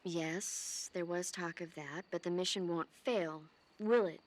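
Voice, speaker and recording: An actress playing a caring female military medic in a video game, earnest, playful and gently scolding. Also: on the low side, measured pace, close to an everyday voice. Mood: earnest devotion.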